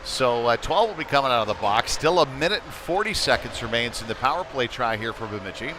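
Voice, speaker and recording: A man's voice: medium pitch, lively intonation, quick pace. A man with a mid-range voice gives live hockey commentary on a local stream. He follows the action in short, clipped phrases. The recording carries plenty of ambient noise from the indoor rink around him. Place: indoor ice rink during a game. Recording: noisy live rink broadcast. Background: loud ambient arena noise.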